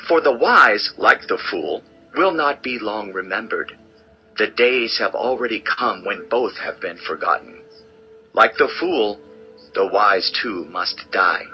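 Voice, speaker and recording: A man's voice: lowish voice, highs cut off. An actor playing a world-weary, wise old king in a solemn, theatrical dramatized scripture reading. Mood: pondering. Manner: solemn, deliberate, theatrical delivery. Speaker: audio Bible narrator and actor.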